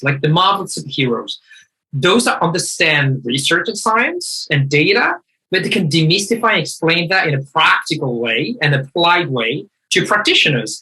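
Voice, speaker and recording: A man in a conversational discussion, talking in an animated, emphatic way and often rephrasing mid-sentence. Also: a little high, husky, rough recording. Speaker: guest speaker.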